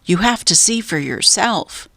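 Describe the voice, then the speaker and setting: A woman's voice: mid-range voice, expressive, measured pace, vocal fry, bright, forceful tone, dry recording, studio quality, full character voice. A woman voicing an eager, curious young girl in a children's storybook, with an urging manner. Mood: coaxing.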